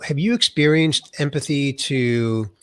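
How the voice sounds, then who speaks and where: A man's voice: mid-range voice, measured pace, no room sound. A middle-aged man from the USA hosting an interview podcast, sounding nasal from a cold and introducing his guest with enthusiasm. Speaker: podcast host.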